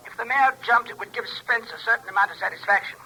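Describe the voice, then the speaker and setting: An actor playing a precise, scholarly asylum psychiatrist in a 1950s radio drama, formal and hesitant, heard through a telephone filter. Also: male voice, extremely high pitch, fast talker, pushed and bright, full character voice, low-quality recording. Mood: clinical, deliberate. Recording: filtered phone voice, vintage radio recording.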